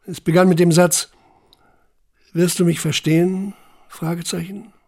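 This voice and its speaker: A man playing a haunted young deportee, recounting camp life in a deliberate, introspective radio drama monologue. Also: high-pitched, level tone, creaky, a little mellow, full character voice. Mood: introspective, wounded.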